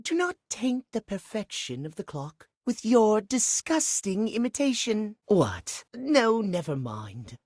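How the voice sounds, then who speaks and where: A fussy stuck-up clock character for a game, talks slow n super proper, very dry close sound. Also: male voice, sits high, wildly swooping pitch, a little mellow, dry recording, heavily acted. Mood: haughty, disapproving. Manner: prim, haughty, precise elocution. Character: pompous, fussy male clock obsessed with punctuality. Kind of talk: theatrical video game character acting.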